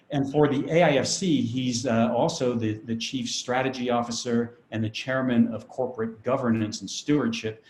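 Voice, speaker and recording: A man's voice: medium pitch, darkish tone. Courteous man opening a webinar, echoey. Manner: courteous, with frequent fillers. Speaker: academy director hosting a webinar. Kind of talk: formal welcome remarks. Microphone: video call. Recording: echoey room with faint noise.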